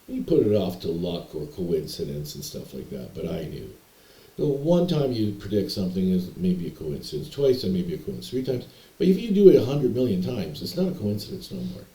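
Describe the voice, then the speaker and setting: A man's voice: low voice, sing-song, a little fast, mellow. A young man from Canada telling a rambling personal story to camera, hesitating and restarting often. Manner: meandering, hesitant, repeats words and restarts. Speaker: vlogger telling personal stories. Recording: echoey room.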